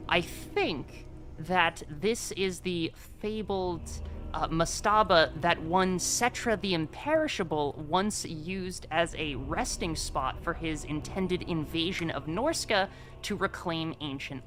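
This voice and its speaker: A woman playing a measured, learned scholar exploring an ancient tomb in a tabletop game. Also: on the low side, fairly bright tone, soft background music. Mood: measured, cautionary.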